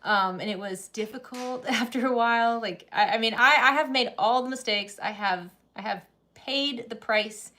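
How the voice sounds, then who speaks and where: Chatty woman host, slightly high voice. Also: normal speed, pushed and bright. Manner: chatty, laughs often, many restarts. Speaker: sewing channel host. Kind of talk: casual livestream chat.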